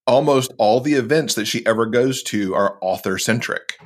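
A man's voice: medium pitch, normal speed. A man speaking as a podcast guest, explaining things informally in casual conversation. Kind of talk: casual interview chat.

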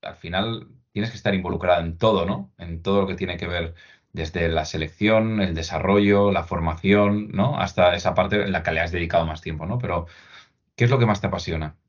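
A man hosting a conversational podcast interview. His voice sits very low and sounds husky. He talks hesitantly, piling up restarts and tag questions, over faint music in a slightly dull, lo-fi recording. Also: brisk. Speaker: podcast host.

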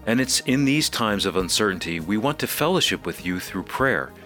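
Low-voiced American radio announcer. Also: male voice, animated, normal speed, a bit bright, faint music behind. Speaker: radio co-host.

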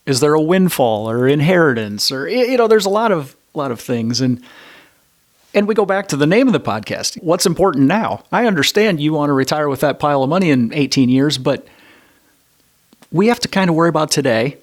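A man who is a financial advisor, a guest on a podcast, speaking bluntly and plainly with frequent restarts and slowing down to stress key points. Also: on the high side, lively intonation. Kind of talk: conversational interview answers.

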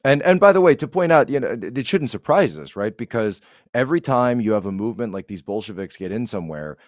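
A man's voice: mid-range voice, lively intonation, fast, no room sound, studio quality. A man giving conversational political commentary as a podcast guest, emphatic and repeating phrases to drive points home.

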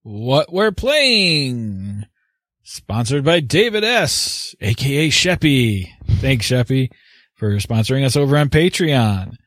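Man, podcast host, animated pitch, offhand delivery, dry close sound. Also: slow, no room sound. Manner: laid-back, brief and offhand. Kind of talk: reading segment intros and sponsor thanks.

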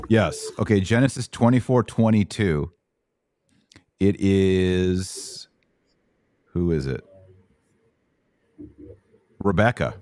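A man's voice: low voice, a little mellow, dry recording. A middle-aged American man hosting and teaching in a casual live Bible Q&A, with a folksy, informal manner.

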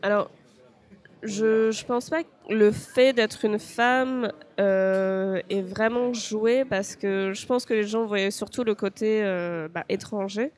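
A woman's voice: medium pitch, steady tone, slow pace, a little mellow. A young woman from France, a podcast guest speaking hesitantly, with frequent fillers and restarts. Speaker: interview guest. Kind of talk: podcast interview.

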